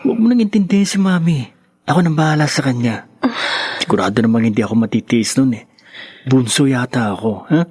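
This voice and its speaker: An actor playing a pampered young lover in a radio drama, cocky, coaxing and breezily reassuring, breathy, with a Filipino accent. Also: male voice, medium pitch, animated, fairly quick, darkish tone, dry recording, clean studio sound. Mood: cocky reassurance. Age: young adult.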